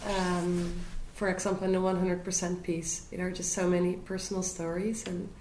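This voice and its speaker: A woman interviews in a casual, tentative way, feeling out her questions as she goes. Her voice sits on the low side, in a noticeably echoey room with some background noise. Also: flat delivery, moderate pace, a little mellow. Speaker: student interviewer. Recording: roomy echo with background noise.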